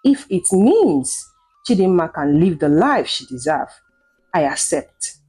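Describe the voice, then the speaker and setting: Casting: female narrator as guilt-ridden folktale mother, pleading, slightly husky, music behind. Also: fairly low pitch, very animated, slow, dark tone, heavily acted, rough recording. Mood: resigned, tender. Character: remorseful, pleading, self-sacrificing mother.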